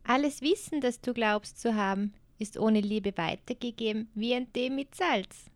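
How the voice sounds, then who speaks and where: A woman voicing a small, dirty but wise girl in an audio story, speaking gently and softly. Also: on the high side, normal speed, no echo, lightly acted. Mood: gentle. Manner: gentle, soft-spoken storytelling. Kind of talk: audiobook character voice.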